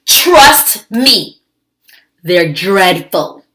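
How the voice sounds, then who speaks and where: A woman's voice: mid-range voice, big pitch swings, drawn-out words, bright, forceful tone, a little hiss or hum, exaggerated voice. A woman voicing a bossy, jealous little girl mouse in a storybook, dramatic, scornful and emphatic. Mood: scornful warning. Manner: emphatic, declaring.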